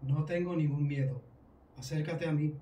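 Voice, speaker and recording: Solemn high-pitched man in an echoey room. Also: dark tone. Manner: solemn, deliberate, repetitive short phrases. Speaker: paranormal investigator. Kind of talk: slow repeated summoning of spirits. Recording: echoey room, distant mic, faint noise.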